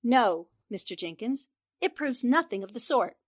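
A woman acting a sharp, confident young newspaperwoman in a 1940s-style radio drama, crisp and assured as she reasons things out. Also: on the high side, animated, moderate pace. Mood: coolly accusing. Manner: crisp, assured, explaining deductions.

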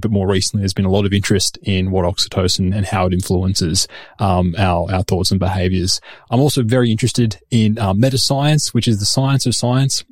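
A man with an Australian accent, a researcher explaining his work in a steady, conversational way. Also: fairly low pitch, no echo, studio quality. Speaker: researcher and podcast host. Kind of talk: conversational interview answers.

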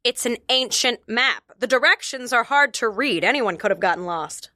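A woman's voice: on the high side, expressive, normal speed, bright, forceful tone, no room sound, clean studio sound. A woman voicing an exasperated, stubborn adventurer with a snappy, defensive manner in a comedy. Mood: irritated.

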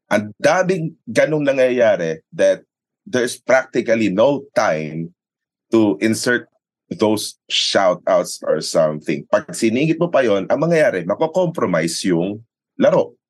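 A man with a low voice and a Filipino accent talks as a sports radio commentator in an interview. He explains things conversationally, slipping between English and Tagalog and punctuating his points with tag questions. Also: expressive, a little slow. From Philippines. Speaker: sports radio play-by-play commentator and arena announcer.

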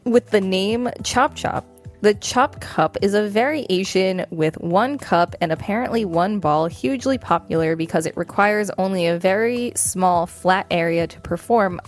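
American woman podcasting over faint music. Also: fairly low pitch. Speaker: podcast co-host. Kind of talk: reading an encyclopedia article aloud with casual banter.

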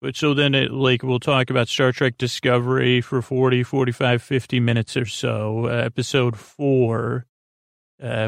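Creaky-voiced man rambling on a sleep podcast. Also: mid-range voice, no echo, clean studio sound. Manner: meandering, halting, trailing off mid-word; whimsical, gently silly asides. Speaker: podcast host.

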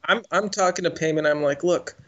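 A man's voice: on the high side, a little fast, lo-fi sound, crowd noise. A man co-hosting a film podcast in casual, joking conversation. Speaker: podcast co-host.

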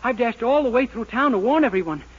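An American man playing an earnest, naive, excitable young man in a 1940s radio sitcom, breathless and pleading. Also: extremely high pitch, quick pace, husky, full character voice. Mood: urgent, pleading. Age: young adult. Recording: noisy muffled 1940s radio recording.

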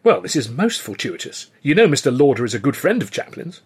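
A man's voice: medium pitch, big pitch swings, brisk, slightly rough. A man voicing a weary, harried upper-class army captain with clipped officer's speech. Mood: brisk.

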